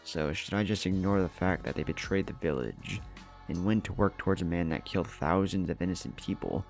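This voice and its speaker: A man's voice: low voice, a little fast, gravelly, soft background music. A man voicing a headstrong young male ninja who speaks bluntly and challenges with questions in a story. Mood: questioning.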